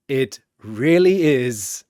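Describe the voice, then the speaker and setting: A man voicing a menacing godlike villain personality in a story, taunting with drawn-out, theatrical delivery. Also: medium pitch, expressive, a little mellow, no room sound, clean studio sound. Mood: gloating menace.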